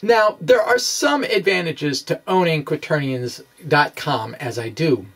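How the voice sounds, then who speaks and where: A man giving an informal explanatory physics monologue in a halting, self-deprecating, conversational way. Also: on the high side, animated, fairly bright tone, distant mic. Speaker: amateur physics video blogger.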